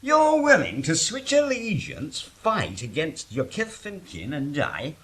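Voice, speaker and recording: A man playing a gruff, scheming leader of an invading army who speaks in a sly, teasing, knowing way. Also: on the high side, wildly swooping pitch, takes it slowly, a bit bright, heavily acted.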